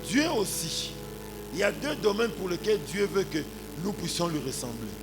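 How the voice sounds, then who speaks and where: Male preacher, forties, Congolese accent, fairly high voice, rhetorical sermon delivery, prominent music behind. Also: big pitch swings, normal speed. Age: 40s. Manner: punctuates points with exclamations and rhetorical questions. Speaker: pastor. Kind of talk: animated sermon with anecdotes and congregational address.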